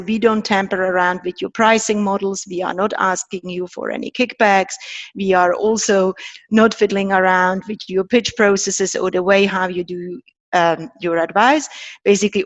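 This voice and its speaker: A woman's voice: mid-range voice, no room sound, highs cut off. Earnest woman. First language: Czech and German. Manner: thoughtful, earnest, with fillers. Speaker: guest, startup co-founder. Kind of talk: podcast interview.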